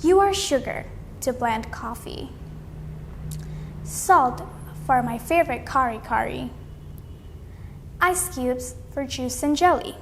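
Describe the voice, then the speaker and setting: A young woman reads a storybook aloud in a very high voice. Her phrasing is slow, deliberate and dramatic, heard with long hall reverb and some faint noise. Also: expressive, creaky. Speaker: read-aloud competition contestant. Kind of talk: expressive storybook read-aloud.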